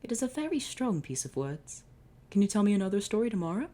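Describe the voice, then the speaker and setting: A man voicing an eager, curious blonde boy who talks in an excited, quick way. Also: sits high, pitched above the performer's own voice.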